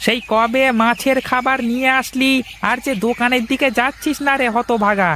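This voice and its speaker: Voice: medium pitch, steady tone, normal speed, bright, forceful tone, a little hiss or hum, close to an everyday voice. A performer voicing a nagging village shopkeeper in a cartoon, scolding and chiding as he demands money. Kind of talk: cartoon voice acting.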